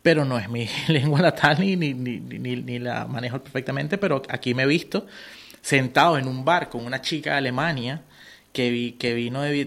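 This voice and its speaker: A man with a mid-range voice speaks casually as a guest in a radio studio. He talks in a relaxed, conversational way, often pausing to check that his listener follows. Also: medium pitch, gravelly. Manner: casual, keeps asking "you know?". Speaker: guest artist, designer and tattoo artist.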